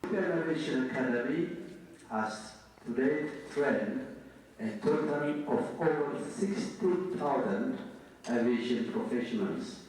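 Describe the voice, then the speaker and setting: A man's voice: medium pitch, expressive, slow, a little mellow, slight background noise. A man giving a formal ceremony speech as an academy director. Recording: very echoey hall, distant mic.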